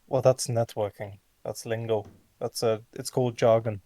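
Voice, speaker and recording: A man's voice: medium pitch, fairly even intonation, normal speed, mellow. A young man whose first language is Lithuanian, chatting casually and teasing with a laugh. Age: young adult. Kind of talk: casual chat.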